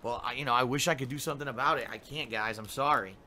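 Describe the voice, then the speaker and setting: A man's voice: medium pitch, fast talker, sharp tone, a little hiss or hum. A middle-aged man streaming games live, rambling and complaining in a casual monologue full of fillers. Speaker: game streamer.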